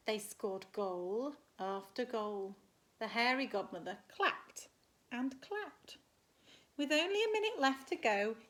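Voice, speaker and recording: Expressive, unhurried woman reading a storybook. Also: mid-range voice. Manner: expressive, asks the listeners questions. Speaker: primary school teacher reading to children. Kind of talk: animated picture-book storytelling with asides.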